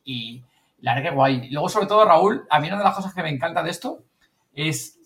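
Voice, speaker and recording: A man's voice: on the high side, lively intonation, a little fast, a bit bright. A man with an accent from Spain chatting casually and colloquially on a podcast. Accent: Spanish from Spain. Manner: colloquial, mildly sweary. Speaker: podcast speaker recounting an event. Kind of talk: casual chat.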